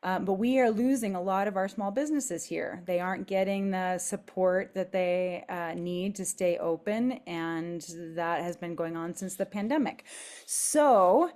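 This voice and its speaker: A woman's voice: fairly low pitch, a little slow, a little mellow. A woman hosting a conversation, talking casually and informally. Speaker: host, book publisher.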